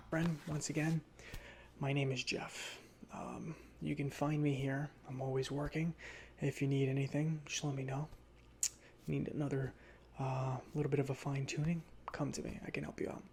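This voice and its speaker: A man playing a friendly, nerdy, chatty robot mechanic in a soft-spoken ASMR roleplay, breathy and rambling with casual hesitations. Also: medium pitch, reverberant, a little hiss or hum, lightly acted. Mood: friendly welcoming. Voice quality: soft, breathy, often near whisper. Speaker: repair shop mechanic character.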